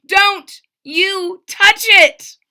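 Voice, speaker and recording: A woman's voice: extremely high pitch, fairly even intonation, extremely slow, bright, forceful tone, pitched above the performer's own voice, exaggerated voice. A woman voicing an earnest young page boy in a children's storybook, pleading and gasping with urgency. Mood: alarmed. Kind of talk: children's storybook read-aloud.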